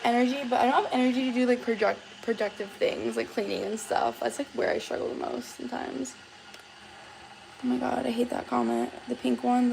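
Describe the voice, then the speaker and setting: A raspy, gravelly-voiced woman in her twenties, a bit hoarse, chatting casually through a livestream. She talks a little higher than most women and peppers everything with "like". Faint background noise sits under her voice. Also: rough recording. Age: 20s. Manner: chatty, lots of fillers like "like". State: slightly hoarse.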